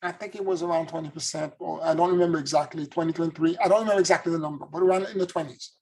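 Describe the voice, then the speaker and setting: A man's voice: a little high, fairly even intonation, rapid-fire, darkish tone. A man from Haiti, a spiritual teacher, giving an earnest, introspective monologue and searching for his words as he goes. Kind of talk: reflective spoken monologue.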